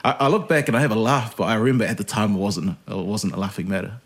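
A man's voice: lowish voice, steady tone, fast, fairly bright tone. A man, a podcast guest telling stories in a laid-back, self-deprecating way. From New Zealand. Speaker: podcast guest, radio host. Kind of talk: casual interview chat.

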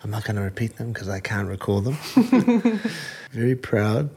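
A man with a New Zealand accent talks through an interview in an earnest, halting way, stopping and restarting his sentences. His voice sits very low, with a husky grain to it. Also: big pitch swings, darkish tone. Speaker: combat sport fighter, guest. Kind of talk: casual interview answers.

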